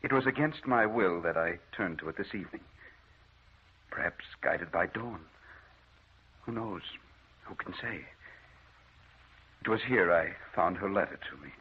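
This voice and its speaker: Solemn, low-voiced doctor. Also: male voice, expressive, husky, heavily acted. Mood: solemn, formal. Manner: formal, measured, solemn. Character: grave, formal doctor with a missing wife. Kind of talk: 1940s radio drama acting. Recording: muffled, hissy old radio recording.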